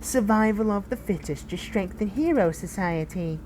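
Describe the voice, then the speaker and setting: A woman playing a protective, bitter but caring fallen hero in an audio roleplay, speaking intimately and earnestly with halting, dramatic pauses. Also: mid-range voice, animated, darkish tone, slight background noise, lightly acted, rough recording. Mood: worried, frustrated. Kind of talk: scripted audio roleplay addressed to the listener.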